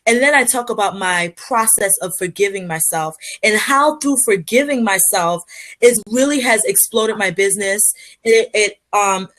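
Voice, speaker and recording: A woman's voice: fairly high pitch, a bit bright. A woman from Liberia, a public speaker, answering interview questions in an earnest, motivational way with frequent fillers and restarts.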